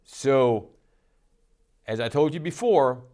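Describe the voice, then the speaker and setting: A man's voice: medium pitch, animated, takes it slowly, a little mellow. A man hosting a stock trading show, talking in an upbeat, emphatic, salesy way. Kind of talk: energetic market commentary.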